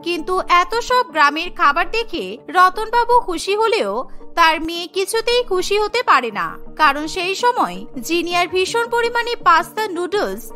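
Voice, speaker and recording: A woman's voice: very high voice, big pitch swings, moderate pace, sharp tone, faint music behind. A woman narrating a scripted cartoon story as its storyteller.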